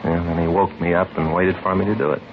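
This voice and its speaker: A man's voice: low-pitched, flat delivery, fast, vocal fry. An American man acting as a tired, put-upon police detective, chatty and aggrieved, in a 1950s radio drama. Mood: exasperated. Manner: weary, chatty, aggrieved storytelling. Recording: muffled, hissy vintage radio recording.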